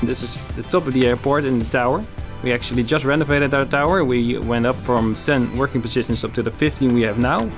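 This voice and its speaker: A man's voice: mid-range voice, steady tone, fairly quick, hardly any pauses. Matter-of-fact man explaining, music behind. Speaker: air traffic control tower guide. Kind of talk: informal explanatory tour talk.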